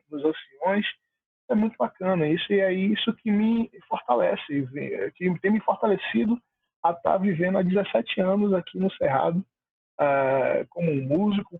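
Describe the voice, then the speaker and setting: Baiano guy on a video call, husky voice, dry tinny audio, rambles a lot. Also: on the high side, no echo. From Salvador, Bahia, Brazil. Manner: heartfelt, rambling with many fillers. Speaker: music producer, debate guest. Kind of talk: online panel talk.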